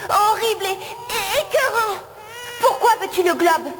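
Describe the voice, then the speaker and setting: High-voiced, earnest cartoon hero. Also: female voice, expressive, unhurried, heavy background noise. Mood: indignant. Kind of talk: cartoon dub acting in French.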